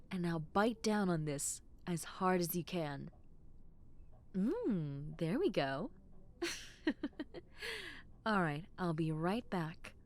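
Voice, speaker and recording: A young woman voicing a gentle, caring dentist with a playful teasing side, speaking unhurriedly in a lowish voice that rises and falls expressively. She reassures softly and often sinks into a hushed whisper. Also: lively intonation, drawn-out words, pauses often. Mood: soothing. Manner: playful, with frequent soft giggles. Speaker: voice actress in audio roleplay. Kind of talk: ASMR roleplay.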